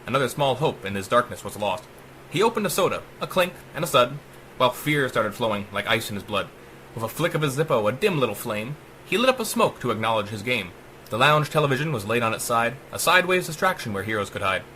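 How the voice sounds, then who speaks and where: A man's voice: medium pitch, big pitch swings, fast, pushed and bright, a little hiss or hum, poor audio. A man giving a dramatic, theatrical audiobook narration of horror verse, with a sing-song rhyming cadence. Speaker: author reading own story.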